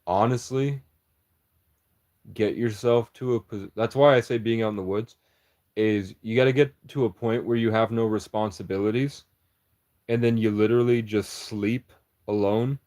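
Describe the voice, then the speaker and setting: Man, lowish voice, livestreamer riffing at chat, conspiratorial and meandering, cuts himself off a lot. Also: steady tone, normal speed, a little mellow.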